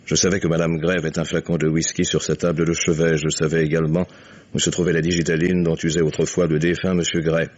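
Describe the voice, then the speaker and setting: An actor playing a shrewd, commanding older father in a vintage French radio mystery, speaking with courteous, deliberate irony. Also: very deep voice, little pitch movement, a little mellow. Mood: grave, measured. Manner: courteous, deliberate, suavely ironic. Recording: dull vintage radio recording.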